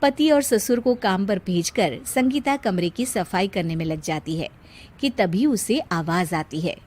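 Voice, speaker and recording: A woman's voice: medium pitch, expressive, unhurried, a little mellow. A woman narrator telling a scripted comic moral story.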